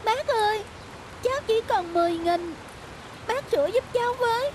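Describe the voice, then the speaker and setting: A woman's voice: extremely high pitch, moderate pace, background noise. A woman voicing a polite, pleading young girl in a cartoon. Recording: music and rain under the voice.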